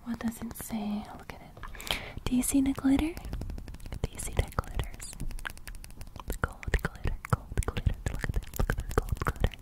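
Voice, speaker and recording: A woman's voice: fairly high pitch, steady tone, lightly acted. Soothing whispering young sleep fairy woman, acted out by an ASMRtist. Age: young adult. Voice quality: breathy, unvoiced whisper. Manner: slow, repetitive, reassuring murmuring. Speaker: ASMR creator. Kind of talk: whispered ASMR roleplay. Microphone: close studio microphone.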